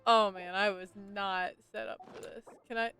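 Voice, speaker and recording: A woman's voice: mid-range voice, steady tone, normal speed, vocal fry. A woman game streamer giving casual, chatty live commentary and reacting aloud as she plays. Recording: loud game music behind voice. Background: video game music.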